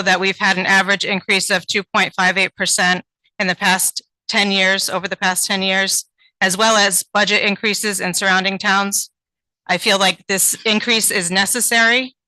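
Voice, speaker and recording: A woman's voice: mid-range voice, flat delivery, moderate pace, bright, forceful tone, no room sound, poor audio. A woman teacher reading a prepared public comment at a town board meeting, earnest and pleading, with frequent ums.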